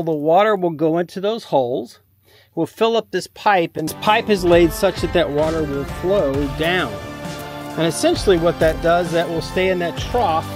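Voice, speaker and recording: A man in his fifties giving a casual, plain-spoken instructional walkthrough as a vlog host, recorded outdoors on a handheld camera. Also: on the high side. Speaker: vlog host showing a home build. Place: outdoors at a concrete foundation construction site. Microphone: handheld camera at arm's length.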